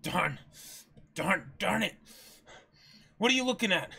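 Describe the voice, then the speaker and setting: Guy doing boastful scared young pirate captain, blustery n defensive, higher voice, comic dub style. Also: expressive, a little slow, gravelly, sharp tone, slightly rough, full character voice.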